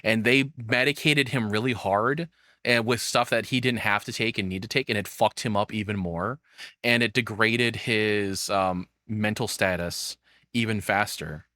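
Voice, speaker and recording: A man's voice: on the low side, a little slow, bright, forceful tone, dry recording. A man giving casual live reaction commentary as a streamer, rambling and swearing often, close to the microphone.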